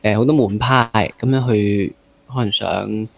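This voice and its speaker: A man's voice: on the low side, steady tone, unhurried, vocal fry, dry recording. A man with a Hong Kong accent hosting a casual interview, rephrasing and repeating himself as he thinks aloud. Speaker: interview host.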